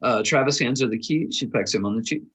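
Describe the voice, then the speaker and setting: A man with a husky voice narrating a script's stage directions at a table read. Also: mid-range voice, fast, fairly bright tone.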